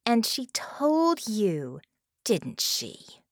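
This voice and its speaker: A woman's voice: medium pitch, very animated, a little slow, no room sound, clean studio sound. A woman voicing a hissing, silky lamia demoness. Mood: menacing.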